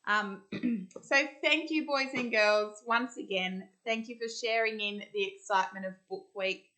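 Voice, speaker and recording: Female storyteller, Australian accent, for children's picture books: slow, expressive delivery, bright tone. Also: medium pitch. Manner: expressive, deliberate storytelling with stretched words. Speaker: school storyteller.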